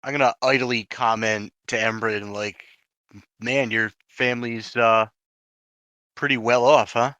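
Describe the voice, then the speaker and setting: Dude playing a tabletop rpg, bright punchy voice, dry mic, just chatting. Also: male voice, mid-range voice, fairly even intonation, measured pace, no echo, dull audio. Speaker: tabletop game player. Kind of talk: casual in-game chat.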